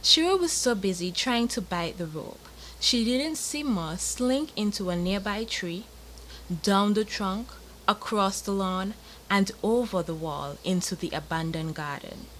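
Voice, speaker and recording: A woman's voice: mid-range voice, animated, measured pace, a bit bright, a little hiss or hum, rough recording. A young woman from Montserrat with a Caribbean accent, narrating a children's bedtime story.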